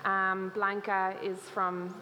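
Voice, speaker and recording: A woman's voice: mid-range voice, completely flat delivery, slow pace, bright, forceful tone, muffled recording. An Irish woman talks an audience through a cooking demo in a loose, chatty way, with fillers and easy laughter. Her voice carries through a big reverberant hall with faint noise behind it. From Ireland. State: slight cough. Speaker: cookbook co-author presenting a cooking demo. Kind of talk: live demo talk to an audience. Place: festival stage in a large hall.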